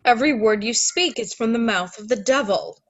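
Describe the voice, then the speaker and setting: A woman's voice: fairly high pitch, fairly quick, dull audio, close to an everyday voice. A woman playing a zealous, condemning religious accuser in a dramatic script read. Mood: zealous, accusing.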